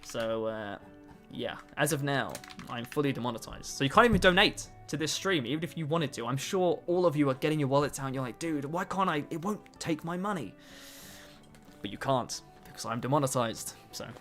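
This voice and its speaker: A man's voice: medium pitch, animated, brisk, fairly bright tone, faint music behind. A man in his twenties chatting casually on a livestream, answering chat and joking at his own expense. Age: 20s. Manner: chatty, self-mocking, answers chat between tasks. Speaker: streamer.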